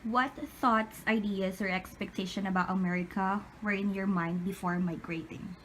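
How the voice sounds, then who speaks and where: A woman's voice: medium pitch, steady tone, normal speed, a bit bright, slight background noise, poor audio. A woman interviewer putting prepared questions to a guest in a read-out style.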